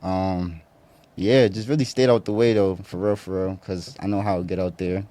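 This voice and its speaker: A man's voice: sits low, steady tone, normal speed, dark tone. A man in his twenties from Connecticut, a guest rapper talking casually in a podcast interview, laid-back and full of slang and fillers. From Bridgeport, Connecticut. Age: 20s.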